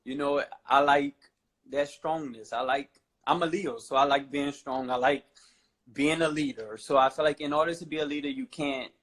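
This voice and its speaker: A man's voice: a little high, steady tone, normal speed, a bit bright. A man in his twenties from New Orleans, a guest on a talk show, speaking casually and rambling with lots of "you know" and "I feel like". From New Orleans, USA. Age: 20s. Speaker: guest, fashion model.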